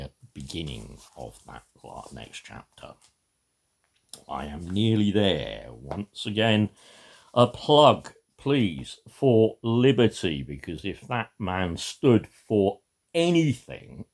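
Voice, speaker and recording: An older or middle-aged man talking to camera in a rambling, personal way, gravelly and husky, halting and then suddenly emphatic. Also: low voice, a little mellow. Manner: emphatic, halting, with dramatic swells and drops. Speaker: video maker giving a reading.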